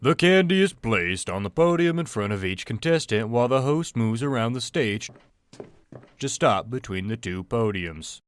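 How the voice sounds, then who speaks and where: A man's voice: medium pitch, animated, normal speed, no room sound. A man reading scripted scene narration for an audio drama.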